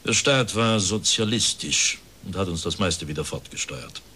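A man's voice: deep voice, animated, normal speed, bright, forceful tone, slight background noise. An actor playing a resigned factory director in his fifties, speaking formally and plaintively in a radio drama. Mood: earnest, explaining. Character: bitter, resigned plump factory director of fifty-one.

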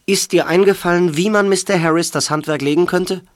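Calm male actor's helper, fairly high voice. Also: brisk, no echo, studio quality, close to an everyday voice. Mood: calm, attentive. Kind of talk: audio drama acting.

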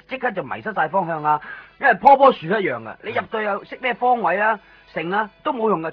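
High, animated, fretful Hong Kong man. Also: slightly rough, heavily acted. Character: fretful, clingy male friend, dramatic and lonely. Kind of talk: Cantonese radio drama acting. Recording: muffled 1990s radio recording, faint music. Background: faint music.

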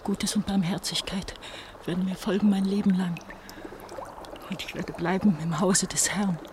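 An actress playing an anguished eighteen-year-old pastor's daughter on the run in a German radio play, brooding and halting, often repeating her words. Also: medium pitch, fairly even intonation, noisy background, lightly acted. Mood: hushed, praying. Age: young adult. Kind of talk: German radio play acting, introspective monologue.

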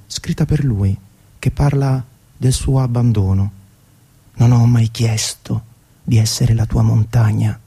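Mellow, husky man narrating. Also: mid-range voice. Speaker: radio show host and storyteller. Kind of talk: scripted narration, storytelling for a radio programme.

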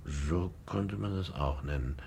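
An actor playing a brooding, sardonic middle-aged man in a radio drama, telling his memories and sinking into hushed tones. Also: deep voice, a little slow, creaky, slight background noise. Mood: hushed, haunted.

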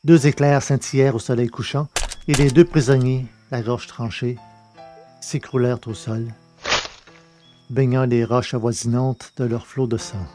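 A man reading a novel aloud in measured, deliberate narration. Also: medium pitch, fairly even intonation, music in the background. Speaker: audiobook reader. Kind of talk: scripted narration of a science-fiction novel.

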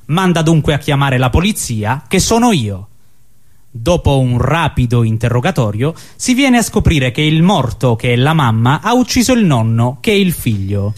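A man's voice: mid-range voice, very animated, normal speed. A man performing scripted absurdist theatre in a mock-solemn, declamatory way. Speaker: stage performer.

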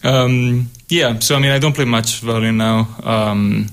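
A young man with a Spanish accent talks in a studio, his voice mid-range. He speaks hesitantly, pausing often with ums as he recounts things. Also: mid-range voice, fairly even intonation, smooth. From Spain. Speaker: guest composer, co-host. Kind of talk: casual radio conversation. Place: radio studio.

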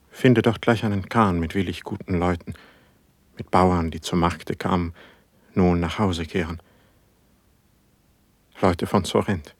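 Anguished male poet, low husky voice, declamatory verse, radio drama, very dry close sound. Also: dry recording, exaggerated voice. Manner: declamatory, measured stage diction. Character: brooding, suspicious, passionate poet in anguish. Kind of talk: classical German verse drama, radio play.

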